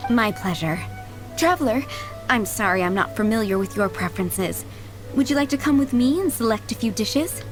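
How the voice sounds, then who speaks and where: A kindly, motherly maid of middle age from a video game, played by an actress. Her voice sits fairly high and moves with lively melody. She chats politely and dotingly over soft background music. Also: moderate pace, close to an everyday voice. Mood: helpful, polite. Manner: polite, doting, gently chatty. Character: kindly motherly head maid, fondly remembering boys she raised. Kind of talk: video game character acting.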